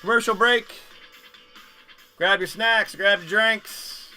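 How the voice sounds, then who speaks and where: A man's voice: high voice, slow, bright, forceful tone, faint music behind. A man hosting a live stream, giving casual, jokey play-by-play of a football game. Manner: jokey, chatty asides between plays. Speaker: host doing live play-by-play.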